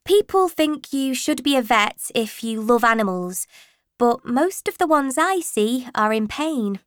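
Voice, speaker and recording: A frank young female vet, acted by a woman reading a novel. She speaks in a dry, matter-of-fact way with a lightly high voice. The audiobook sound is close and free of echo. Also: animated, a little slow.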